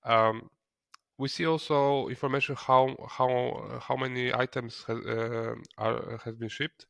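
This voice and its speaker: Guy doing a software tutorial, kinda hesitant, repeats words, medium pitch, dry sound. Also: fairly even intonation, unhurried, vocal fry, no room sound. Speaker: software trainer. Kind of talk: screen-recorded software tutorial, explaining step by step.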